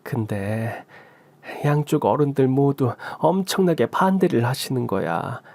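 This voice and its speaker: A man voicing a middle-aged birth mother who talks softly and apologetically. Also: mid-range voice, lively intonation, measured pace, gravelly, no echo. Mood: confiding. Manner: soft, apologetic storytelling.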